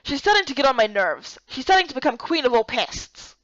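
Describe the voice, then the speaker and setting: A woman performs a grumpy male game character, brusque and full of sarcasm. The pitch moves about in a dull, dry recording. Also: big pitch swings, a little fast, no echo. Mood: irritated, sarcastic. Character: gruff, irritable, sarcastic man hidden in a cold room.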